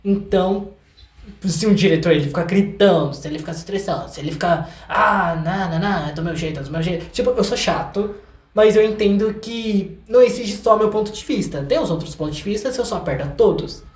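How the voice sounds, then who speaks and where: A young Brazilian man chatting casually as an interview guest, an animated storyteller who acts out quotes. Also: high-pitched, a little fast. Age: young adult. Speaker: guest, singer and artist. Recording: echoey room with some background noise.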